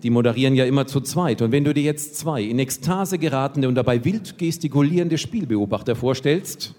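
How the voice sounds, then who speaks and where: A man's voice: medium pitch, fairly quick. Male slam poet, ironic punchline delivery, stage mic, long hall reverb. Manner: ironic, punchline-driven, lively. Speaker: poetry slammer. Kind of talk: comic poetry slam performance on stage. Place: stage at a poetry slam event. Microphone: stage microphone.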